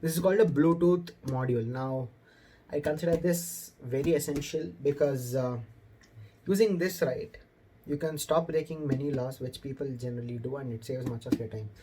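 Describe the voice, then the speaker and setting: A man's voice: medium pitch, normal speed, warm tone, a little hiss or hum. A young man with an Indian accent talking casually to camera as a motorcycle vlogger, explaining things informally, recorded indoors in a room. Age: young adult. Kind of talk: casual vlog talk to camera.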